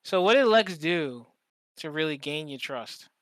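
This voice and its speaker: A man hosting a podcast in casual conversation, speaking informally and a little haltingly. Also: on the high side, expressive, no echo. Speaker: podcast host.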